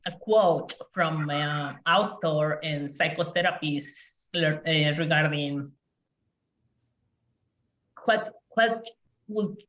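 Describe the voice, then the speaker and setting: Slow-spoken woman on a roomy call. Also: low voice, fairly bright tone, a little hiss or hum. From Mexico. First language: Spanish. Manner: measured, hesitant with many fillers. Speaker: community engagement specialist, panelist. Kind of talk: panel talk on a video call. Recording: roomy, distant, dull video call audio.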